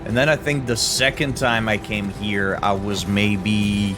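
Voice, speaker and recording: A man's voice: on the low side, fairly even intonation, measured pace. A man from Italy streaming a video game, chatting casually and joking with his viewers. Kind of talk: casual gameplay commentary. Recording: game music underneath. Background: music.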